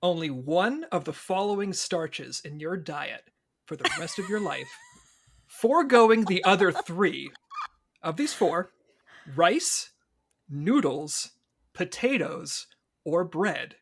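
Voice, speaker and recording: Animated storyteller with swooping pitch. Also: slow, slight background noise. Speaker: podcast cast or crew member asking questions. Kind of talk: casual chat, playful interviewing and storytelling.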